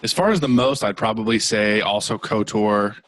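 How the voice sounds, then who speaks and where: A man's voice: lowish voice, moderate pace, fairly bright tone, no echo. A man co-hosting a casual podcast, chatting with friends and swearing freely. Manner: laid-back, swears freely. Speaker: podcast co-host. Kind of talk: casual chat among friends.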